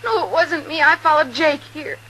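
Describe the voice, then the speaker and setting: A hissy 1950s radio western recording of a distraught, wounded frontier woman. Her high, sharp voice pleads in pain. The delivery is melodramatic. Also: normal speed, poor audio. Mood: distraught, pleading.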